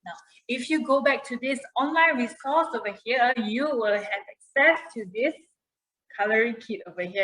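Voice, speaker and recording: A woman's voice: fairly high pitch, a bit bright. A woman hosting a children's puppet show, encouraging and explaining activities step by step. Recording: roomy, echoey sound.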